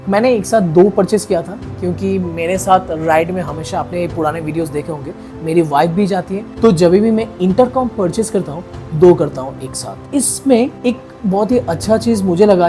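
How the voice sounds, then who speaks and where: A man's voice: high-pitched, a little mellow. Indian man reviewing gear, music behind. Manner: explanatory, mixes English phrases into sentences. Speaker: vlogger reviewing motorcycle gear. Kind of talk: casual product review to camera.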